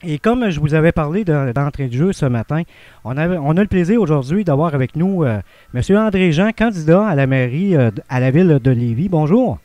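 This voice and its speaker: Quebecois radio host, mellow and dry. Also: male voice, fairly high pitch, animated, a little fast, no room sound. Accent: Quebec French. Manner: chatty, hesitant with fillers. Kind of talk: radio interview. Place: small cluttered radio studio. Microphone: studio mic on stand.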